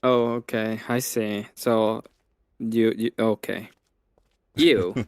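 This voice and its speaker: A man's voice: fairly low pitch, level tone, slow pace, a little mellow, smooth, no echo. A man chatting casually with friends on a stream, defensive and protesting. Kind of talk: casual chat.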